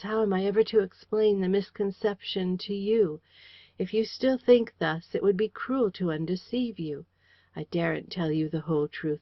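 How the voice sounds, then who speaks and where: A woman's voice: medium pitch, dry recording, natural voice. A woman voicing an earnest young man in an audiobook, imploring and tender toward the woman he loves. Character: earnest young man pleading with his beloved. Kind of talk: audiobook character reading. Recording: dry, slightly dull lo-fi audio.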